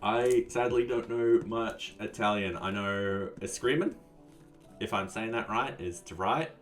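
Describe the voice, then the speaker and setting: A man with a fairly low voice talks casually as he runs a live coding stream, answering his chat as it comes in. He sounds relaxed and tends to trail off mid-thought. He sits somewhat away from the mic, and faint music plays in the background. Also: a little slow, recorded from a distance. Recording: voice a bit off-mic, soft music behind.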